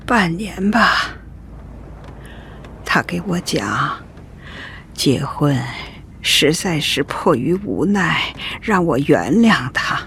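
An actress playing a sorrowful, forgiving old grandmother in a radio drama, gravelly and resigned, sighing as she recalls things. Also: sits low, sing-song, drawn-out words, long pauses, a bit bright, slight background noise, heavily acted. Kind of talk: reflective radio drama acting.

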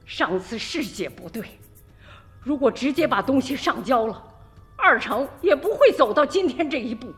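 A woman's voice: high voice, animated, normal speed, in a large hall, soft background music. An actress playing a stubborn old village mother in a radio drama, emphatic and emotional, pleading for her son. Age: older. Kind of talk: Chinese radio drama acting.

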